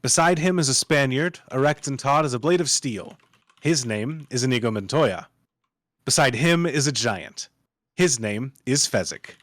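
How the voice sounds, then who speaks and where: Guy reading script narration, normal pitch, really dry mic. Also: lively intonation, moderate pace, fairly bright tone, no echo. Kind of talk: reading screenplay stage directions aloud.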